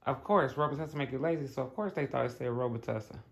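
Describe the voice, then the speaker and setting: A woman's voice: very deep voice, quick pace, vocal fry. A middle-aged woman hosting a family vlog, bossy and blunt, teasing and grilling people in casual slang at home. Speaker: vlog host and mother.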